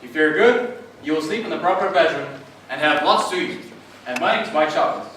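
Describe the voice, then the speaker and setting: An actor playing an arrogant, brusque phonetics professor who talks in a brisk, dismissive way. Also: male voice, fairly high pitch, expressive, fairly bright tone, heavy reverb, far from the mic, slight background noise, poor audio.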